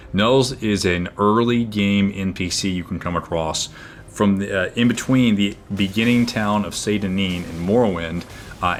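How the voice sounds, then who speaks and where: A man with a very low, booming voice talks casually over gameplay into his own microphone. He rambles, stops and restarts, and fills gaps with "uh". A faint hiss sits under his voice. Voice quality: naturally booming, projecting voice. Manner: rambling, with frequent fillers and restarts. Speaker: gaming video creator. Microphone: own microphone, level turned down.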